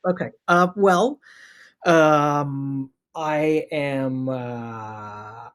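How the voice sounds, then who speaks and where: Casting: middle-aged Canadian guy, interview guest, halting and wry, mid-range voice. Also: mid-range voice, clear, resonant tone. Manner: hesitant, many restarts, wry. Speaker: animation producer, guest. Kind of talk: casual interview storytelling.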